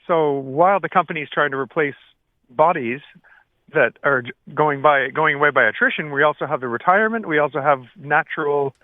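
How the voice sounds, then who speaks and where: A phone-like, narrow line carries an older man with a slightly high voice. He is plain-spoken and halting, rewording his sentences as he explains things to an interviewer, with little room sound. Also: dry recording. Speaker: retired air traffic controller, interview guest. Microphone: phone call.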